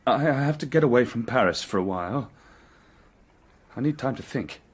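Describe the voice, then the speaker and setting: Animated young gentleman detective. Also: mid-range voice, moderate pace, breathy edge. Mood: troubled, pleading. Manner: urbane, teasing, intense. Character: impulsive young gentleman detective, teasing yet haunted. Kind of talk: period radio drama acting.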